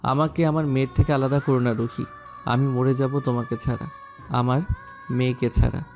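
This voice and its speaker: A man playing an intense, lovelorn husband and father, a powerful mayor, with slow, earnest romantic drama phrasing. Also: medium pitch, little pitch movement, a little mellow, natural voice. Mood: pleading, heartbroken. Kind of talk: romantic audio drama acting. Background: background music.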